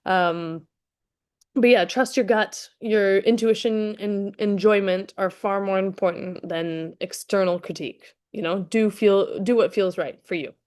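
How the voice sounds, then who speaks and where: A young adult woman, hoarse from a cold, talking chattily with frequent restarts as a fitness coach in a live session. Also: mid-range voice, measured pace, darkish tone. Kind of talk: casual live-streamed talk to a chat.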